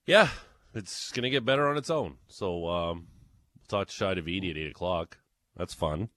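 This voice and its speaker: A man's voice: low-pitched, moderate pace, fairly bright tone, no echo. A man hosting sports talk radio, in laid-back, joking banter. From grew up bilingual, English and Serbian.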